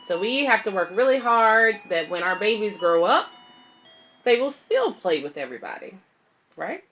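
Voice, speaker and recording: A woman with a bright, forward voice reads a children's book aloud and talks directly to young viewers and their parents. She explains things in simple, casual words at a medium pitch, with music clearly present in the recording. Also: big pitch swings, moderate pace, highs cut off. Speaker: babysitter and children's story reader.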